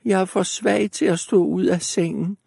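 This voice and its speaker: A weak, bedridden old grandmother from a fairy tale, as a male narrator puts her on, pitched high and thin. The voice comes dry and close, with a gravelly edge underneath. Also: fairly even intonation, darkish tone, no room sound, exaggerated voice. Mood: feeble.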